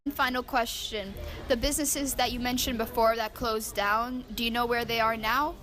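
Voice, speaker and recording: A woman's voice: on the high side, little pitch movement, normal speed. A woman interviewing politely with formal questions.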